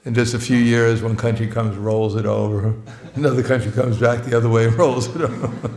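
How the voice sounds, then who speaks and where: Brief: middle-pitched male preacher, wry and chuckling, casual talk, roomy temple echo. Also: fairly even intonation, fairly quick, a little mellow. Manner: joking, chuckles often between phrases; plainspoken, self-mocking. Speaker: visiting monk giving a talk. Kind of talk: informal sermon. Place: temple hall. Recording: echoey hall.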